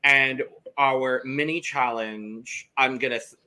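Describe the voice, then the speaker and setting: Chatty host dude recapping the episode, bright voice, jokey. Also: male voice, mid-range voice, steady tone, slow, rough recording. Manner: chatty and joking, narrates events. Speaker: podcast host. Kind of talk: casual recap of a reality TV episode.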